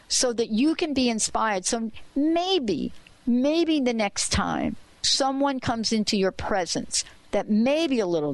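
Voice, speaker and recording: A woman's voice: fairly high pitch, dry recording, rough recording. Encouraging woman radio host. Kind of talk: radio interview hosting.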